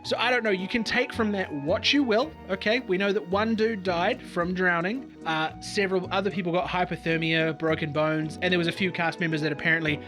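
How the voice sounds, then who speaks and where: A man's voice: high-pitched, steady tone, fairly quick, hardly any pauses, over music. A man giving a sarcastic, profane comedic history commentary as a video host.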